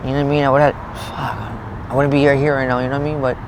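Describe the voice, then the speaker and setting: A man in his late thirties talks quickly, rambling through his story, swearing and breaking off mid-thought. He is recorded outdoors in a parking lot, with faint traffic noise behind him. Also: mid-range voice, level tone. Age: 30s. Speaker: interviewee. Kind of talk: street interview, rambling personal story.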